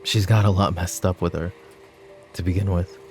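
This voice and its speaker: A man playing a weary, exasperated mafia boss in an ASMR audio drama, talking in a dry, halting way. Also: sits low, level tone, a little mellow, soft background music. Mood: weary, troubled. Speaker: voice actor in audio roleplay.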